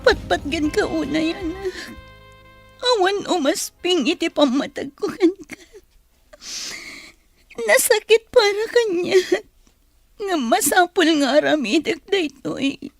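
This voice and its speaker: Radio drama role: anguished young mother, very high voice, pleading and tearful, close dry sound. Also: lively intonation, slow, long pauses, no room sound, faint music behind, full character voice. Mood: tearful, tender. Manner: emotional, pleading, sighing. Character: distressed young mother fleeing an abusive partner, tearful, pleading.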